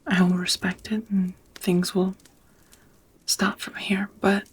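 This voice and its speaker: A woman playing a tender, nervous ancient vampire in an intimate ASMR romance roleplay, with hesitant, slightly old-fashioned phrasing and a whispery, husky voice. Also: medium pitch, little pitch movement, slow pace. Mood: nervous, loving.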